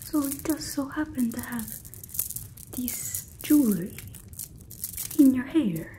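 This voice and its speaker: Whispering, slow-talking stern fantasy guard played by a woman. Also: high-pitched, expressive, dark tone, a little hiss or hum. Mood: suspicious, sardonic. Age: 20s. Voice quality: breathy, mostly whispered. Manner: suspicious, sarcastic, unhurried. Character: stern, mocking female fantasy guard searching a thief. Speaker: ASMR roleplay performer. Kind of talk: whispered ASMR roleplay.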